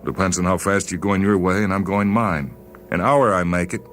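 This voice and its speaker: A man voicing a calm, detached spaceman who faces death in a steady, matter-of-fact way. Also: sits low, moderate pace, slight background noise, pitched above the performer's own voice.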